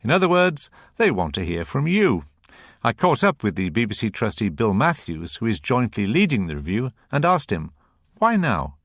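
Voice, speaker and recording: A man hosts a radio programme in a close, dry studio. His delivery is scripted, with animated swings of pitch as he presents. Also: normal speed, no echo.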